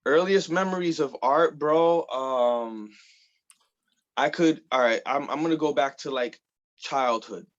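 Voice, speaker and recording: A man's voice: on the high side, a little slow, dull audio. A man in his thirties from the Bronx, chatting loosely as an interview guest, full of fillers and casual swearing. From the Bronx, New York. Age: 30s. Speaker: guest artist.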